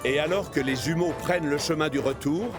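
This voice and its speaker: A man narrating a French children's cartoon as an expressive storyteller. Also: a little high, brisk, over music, natural voice.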